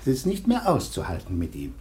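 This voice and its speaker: A man's voice: sits low, wildly swooping pitch, brisk, heavy background noise. A man playing an earnest, dutiful teacher and guardian in a radio play, worried and exasperated. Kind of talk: 1960s German radio play acting.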